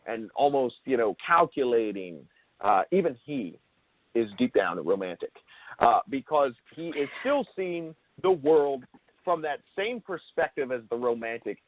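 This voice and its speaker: Male interview host, mid-pitched, phone-quality audio. Unscripted explainer, halting, self-correcting, emphatic on key words. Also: sing-song, no echo. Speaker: show host interviewing a guest. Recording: thin phone-like call audio.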